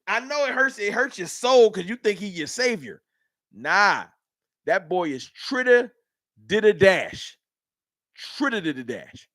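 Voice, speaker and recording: A man's voice: sits high, measured pace, no echo, clean studio sound. A man from Dallas, hosting a live sports fan stream and arguing heatedly with his chat. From Dallas, Texas. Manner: animated, argumentative, heated ranting. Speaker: sports fan livestream host.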